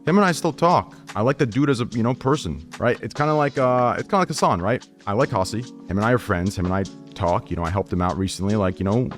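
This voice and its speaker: A man's voice: fairly low pitch, expressive, quick pace, few pauses, soft background music. A man chatting casually on a livestream as a streamer, blunt, profane and rambling. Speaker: Twitch streamer.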